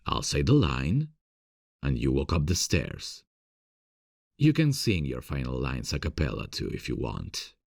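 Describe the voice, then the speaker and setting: Man acting as very deep-voiced, smitten theatre director. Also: wildly swooping pitch, measured pace, creaky, darkish tone, no echo, studio quality, exaggerated voice. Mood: instructive, encouraging. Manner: tender, hesitant, confiding. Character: smitten male theatre director, earnest, gentle, passionate. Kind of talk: intimate ASMR romantic audio roleplay.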